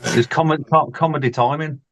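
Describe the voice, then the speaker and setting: A man's voice: a little high, steady tone, moderate pace. A man chatting casually as a football podcast panellist. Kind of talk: casual chat. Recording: dull, lo-fi microphone sound.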